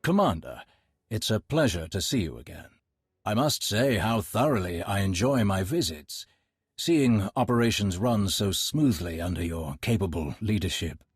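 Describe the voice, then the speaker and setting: Husky, low, suave imperial schemer. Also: male voice, normal speed, dry recording, clean studio sound. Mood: smooth flattery. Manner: smooth, formal, flattering, theatrical. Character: suave, courteous, scheming male imperial intelligence agent. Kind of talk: video game voice acting.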